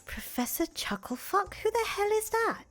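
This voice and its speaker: A woman playing a sceptical, worried confidante in a visual novel, questioning and expressive, with a slightly husky voice. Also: extremely high pitch, normal speed, exaggerated voice. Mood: puzzled, suspicious. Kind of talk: acted reading of visual novel dialogue. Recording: music under the voice.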